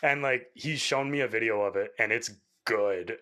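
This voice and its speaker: A man's voice: on the low side, moderate pace, sharp tone. Chatty young man rambling with fillers. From Canada. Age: young adult. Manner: rambling, filler-heavy, laughs easily. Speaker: musician guest. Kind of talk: casual interview chat.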